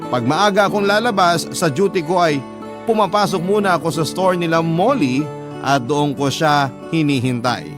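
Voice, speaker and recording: A man playing a young fast-food worker, telling his own story earnestly and in measured fashion as scripted radio drama narration. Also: on the high side, natural voice. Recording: music bed behind the voice.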